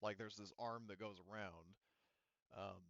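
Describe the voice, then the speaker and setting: A man's voice: lowish voice, steady tone, a little slow, creaky, a bit bright, no room sound, highs cut off. A man running a tabletop roleplaying game as game master, talking casually and thinking aloud in a rambling way.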